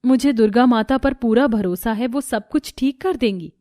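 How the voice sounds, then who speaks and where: A woman voicing a gentle, sorrowful and devout young daughter-in-law in a moral story, soft and pleading in manner. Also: fairly high pitch, moderate pace, no room sound, clean studio sound, lightly acted. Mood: gentle, reassuring.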